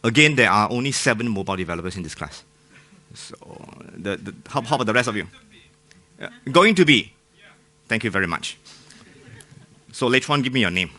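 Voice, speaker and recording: A man's voice: on the low side, lively intonation, brisk, long pauses, sharp tone. A man with a Singaporean accent giving an informal, joking technical talk to a live audience, often putting questions to them. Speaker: conference speaker.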